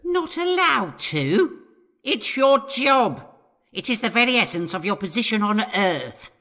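A man's voice: sits high, big pitch swings, unhurried, pushed and bright, full character voice. A British man playing a cunning, pompous medieval lord in a comedy audio drama, sneering and exasperated. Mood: exasperated, furious.

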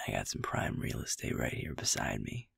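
Man acting a gravelly, breathy young boyfriend. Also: rumbling low, no room sound, studio quality, exaggerated voice. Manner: soft, breathy, halting and affectionate. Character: sweet, easygoing young werewolf boyfriend. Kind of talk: intimate boyfriend audio roleplay.

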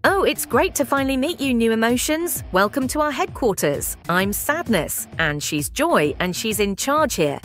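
Earnest small cartoon emotion, raised pitch, animated, played by a woman, faint music. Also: normal speed, hardly any pauses. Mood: earnest, welcoming. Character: small, earnest sadness creature. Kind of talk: fan comic dub.

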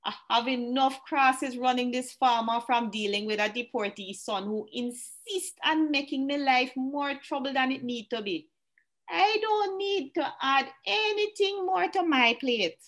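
Actress as feisty old jamaican farm lady, high voice, firm and teasing, radio drama. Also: a little slow, fairly bright tone. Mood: amused, firm. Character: independent, feisty elderly Jamaican farm woman.

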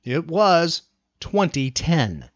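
A male podcast host with a fairly high, very lively voice that moves freely up and down. He banters casually and teasingly, repeating phrases for emphasis. The recording is close and dry, with a slightly muffled, lo-fi sound. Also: drawn-out words, no echo.

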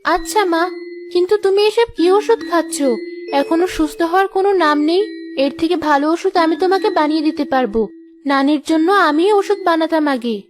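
A woman's voice: extremely high pitch, fairly quick. A woman playing a kind, humble poor girl who poses as a princess in a fairy-tale cartoon. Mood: affectionate.

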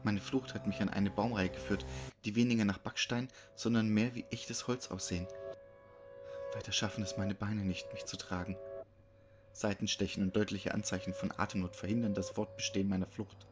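A man's voice: lowish voice, level tone, moderate pace. A man narrating a scripted horror story. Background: music.

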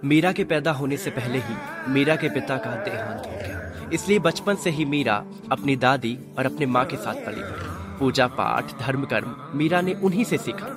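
A man's voice: mid-range voice, lively intonation, moderate pace. A man narrating a scripted radio drama as its storyteller. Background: music.

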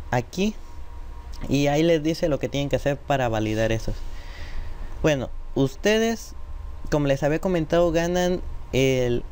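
A man's voice: on the high side, darkish tone. A man narrating an informal screen-recorded tutorial step by step, addressing viewers directly. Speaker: tutorial presenter. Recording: noisy home computer microphone recording.

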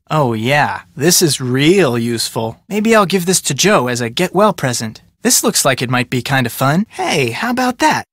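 A man's voice: on the high side, fairly bright tone, dry recording, studio quality, heavily acted. An actor playing an upbeat, easygoing young male sleuth in a video game, talking in a casual, boyish, exclamatory way. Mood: excited. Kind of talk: video game character acting.

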